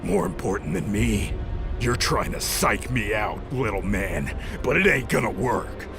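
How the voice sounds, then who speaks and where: A man's voice: lowish voice, a little slow, music in the background. A man voicing a monstrous giant wolf in an audio drama, vain and gleefully savage, with a crude, leering, mocking way of talking. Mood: vain, defiant.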